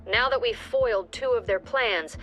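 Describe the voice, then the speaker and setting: An actress playing the authoritative director of a tactical squad in a video game, giving measured, firm mission briefings. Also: female voice, a little high, a bit bright, faint music behind, natural voice, poor audio. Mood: composed briefing.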